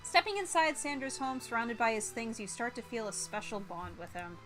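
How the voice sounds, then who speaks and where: A woman streamer reading a visual novel aloud in a theatrical, expressive way. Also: on the high side, brisk, faint music behind.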